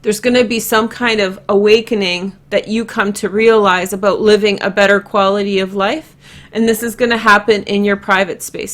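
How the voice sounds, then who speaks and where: Casting: female tarot host, medium pitch, informal and chatty, unscripted commentary straight to the audience. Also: fairly bright tone. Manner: chatty, informal, addresses viewers directly. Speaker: tarot card reader, host. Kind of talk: casual unscripted card-reading commentary to viewers.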